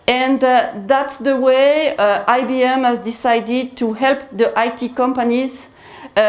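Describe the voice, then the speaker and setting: A woman giving a business presentation at a conference and taking questions, in a measured way that repeats key phrases. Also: a little high. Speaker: conference speaker. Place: conference hall. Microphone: handheld mic. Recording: echoey conference hall.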